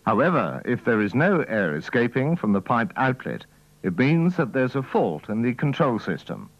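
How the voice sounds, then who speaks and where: A man's voice: medium pitch, big pitch swings, normal speed, no room sound. A man reading scripted instructional narration in a methodical, formal way, as the narrator of a training film. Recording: dull, dry old training-film soundtrack.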